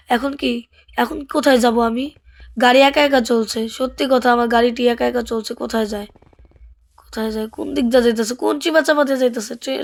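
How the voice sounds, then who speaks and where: A Bangladeshi woman hosts casual gameplay commentary in a high voice with a crackly edge. She talks chattily, thinking aloud and tossing out questions as she plays along with the roleplay. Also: creaky. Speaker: gaming video host.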